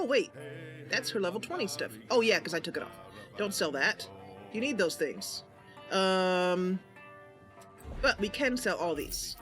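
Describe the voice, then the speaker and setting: A woman doing casual, chatty and enthusiastic commentary as she streams a game. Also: fairly low pitch. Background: faint music.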